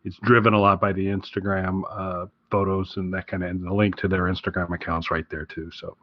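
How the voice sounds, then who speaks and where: A man's voice: deep voice, little pitch movement, a little fast, dry recording. A man co-hosting a podcast in casual chat, rambling and correcting himself as he goes. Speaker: podcast co-host. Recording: dull, lo-fi close dry audio.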